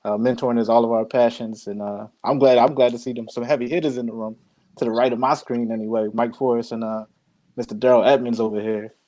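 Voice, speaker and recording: A man introducing himself as a father and mentor on a casual video call, quick and modest. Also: mid-range voice, fairly even intonation, warm tone, poor audio. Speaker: guest mentor.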